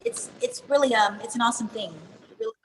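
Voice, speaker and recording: A woman singer, born in New Jersey and raised in Houston, chatting casually in an interview with quick slangy asides. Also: fairly high pitch, background noise. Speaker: R&B singer, guest.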